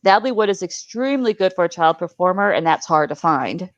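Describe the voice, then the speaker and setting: Female panelist, casual and emphatic, brisk pace, dry close sound. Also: lowish voice, lively intonation, dry recording, lo-fi sound. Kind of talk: casual panel discussion about films.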